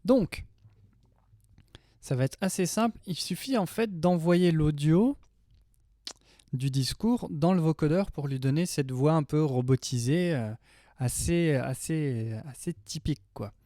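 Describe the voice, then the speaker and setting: A man's voice: fairly high pitch, a little slow, warm tone, dry recording, studio quality. A man in his thirties giving a casual, chatty, slangy tutorial as a music production tutor. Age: 30s. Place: small home studio indoors.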